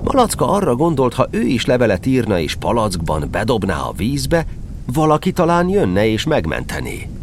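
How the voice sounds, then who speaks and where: A man's voice: mid-range voice, wildly swooping pitch, a little hiss or hum. A man narrating a scripted children's story expressively, as the narrator of an audio drama.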